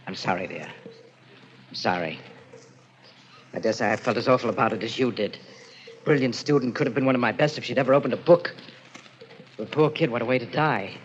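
An actor playing a tormented, obsessed newlywed husband in an old-time radio thriller, halting and repeating himself with melodrama. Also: male voice, medium pitch, lightly acted. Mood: subdued, brooding. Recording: dull, lo-fi, faintly noisy vintage radio recording.

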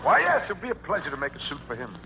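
A fussy, flattering tailor from an old radio comedy gushes over his customer with sly digs. The voice sits high and swoops up and down. A thick hiss runs under it. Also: rapid-fire, vocal fry, heavily acted. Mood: gushing. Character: flattering fussy male tailor, middle-aged. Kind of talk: 1940s radio comedy acting. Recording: hissy old radio broadcast recording.

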